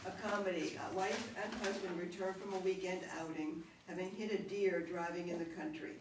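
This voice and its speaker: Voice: mid-range voice, steady tone, fairly quick. A narrator reading a play's introduction and stage directions from a script. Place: echoey room, mic away from reader. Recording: echoey distant room, clear background noise.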